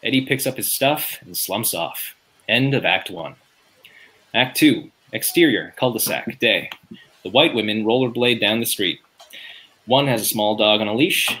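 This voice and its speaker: A man's voice: lowish voice, a little slow, bright, forceful tone. A man reading out stage directions as scripted narration in a sitcom table read, recorded at home on a video call.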